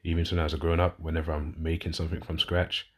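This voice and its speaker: A man in his thirties with a London accent talks through his own story in a loose, rambling way. He often stops to correct himself and leans on fillers. His voice sits extremely low, with a husky edge and little rise and fall. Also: little pitch movement, fast. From London, England. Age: 30s. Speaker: podcast host and fitness coach. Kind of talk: casual personal monologue.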